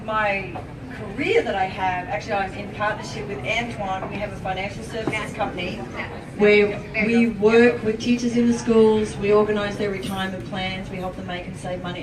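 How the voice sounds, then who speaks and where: A woman with an Australian accent giving an enthusiastic, chatty testimony to an audience at an event. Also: medium pitch. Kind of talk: upbeat sales testimony on stage. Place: event venue with a crowd. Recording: echoey hall, distant mic, loud background.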